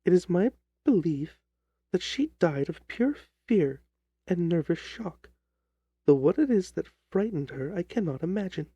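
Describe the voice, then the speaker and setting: A man voicing a distressed young gentlewoman who tells her grim story in a formal, earnest, theatrical way, as in an audiobook drama. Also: sits high, animated, measured pace, husky, dry recording, muffled recording, exaggerated voice. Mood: earnest, troubled. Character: distressed young gentlewoman recounting her sister's terrifying death.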